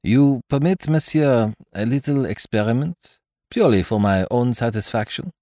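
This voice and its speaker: A man's voice: mid-range voice, expressive, normal speed, mellow, dry recording, studio quality, voice pushed up, natural voice. A man voicing a courteous, precise middle-aged detective.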